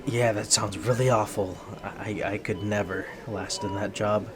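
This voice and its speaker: A man's voice: fairly low pitch, fairly even intonation, measured pace, a crowd in the background, close to an everyday voice. Man playing a nervous, stammering sweet bunny boy. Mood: shy, sympathetic. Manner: stammering, hesitant, bashful, earnest. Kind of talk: intimate ASMR roleplay addressed to the listener.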